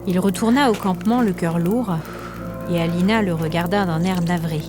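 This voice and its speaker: Low-voiced woman narrating a story. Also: moderate pace, over music.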